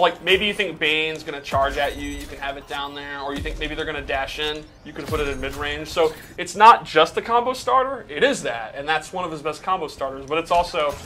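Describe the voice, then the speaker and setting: A man's voice: a little high, bright, forceful tone. A man giving casual, explanatory gameplay commentary as a developer showing off a game, peppering his talk with "like". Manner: explanatory, casual, says "like" often. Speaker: game developer presenting a demo.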